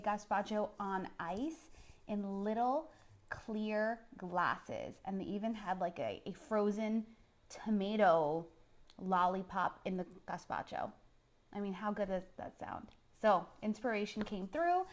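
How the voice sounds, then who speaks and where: A middle-aged woman from Puerto Rico hosts a casual live cooking demo. She speaks at a medium pitch in a chatty, informal way. She addresses her viewers directly and often tags her sentences with a checking "okay?". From San Juan, Puerto Rico. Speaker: chef hosting a cooking show.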